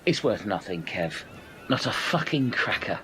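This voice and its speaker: A man's voice: on the low side, big pitch swings, measured pace, background noise. A man playing a sceptical, argumentative castaway in a comic radio play, correcting others with dry sarcasm. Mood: sarcastic.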